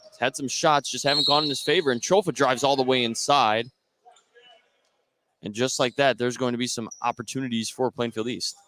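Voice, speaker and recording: An American man works as a play-by-play announcer on live basketball radio, with a mid-pitched voice. He follows the action in short running phrases, over faint gym noise and ball bounces. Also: fairly even intonation, brisk, sharp tone. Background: basketball game in a gym.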